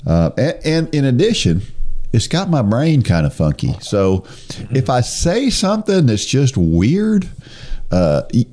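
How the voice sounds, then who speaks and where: A man's voice: medium pitch, wildly swooping pitch, unhurried, mellow. A middle-aged man from New Jersey hosting a faith-based running podcast, talking with a stuffy, congested nose in a folksy, chatty way. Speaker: podcast host. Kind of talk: casual chat and scripted intro.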